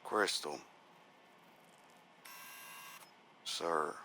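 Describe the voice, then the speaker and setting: A man playing a hard, skeptical military commander in a video game, curt and deliberate. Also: rumbling low, pushed and bright, slight background noise, full character voice. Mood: resentful, clipped. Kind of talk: video game cutscene acting.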